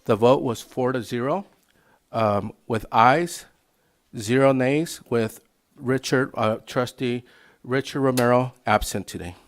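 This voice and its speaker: A man's voice: medium pitch, unhurried. Hesitant man reporting to a board. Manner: hesitant, many fillers. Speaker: district official reporting to the board. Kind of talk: formal meeting report.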